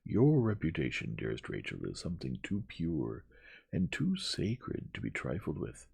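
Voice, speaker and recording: A man voicing an earnest Victorian gentleman in an audiobook, gentle, pleading and mannerly. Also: a bass voice, huge pitch swings, moderate pace, creaky, breathy edge, exaggerated voice. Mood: gently remonstrating. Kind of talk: dramatized audiobook reading.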